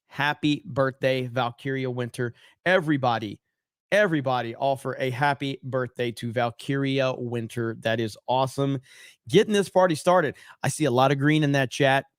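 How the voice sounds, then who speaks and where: A man hosting a casual livestream, reading viewer messages and shout-outs with energy while his voice is starting to go and crack from overuse. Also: fairly high pitch, clean tone, no echo, clean studio sound. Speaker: host.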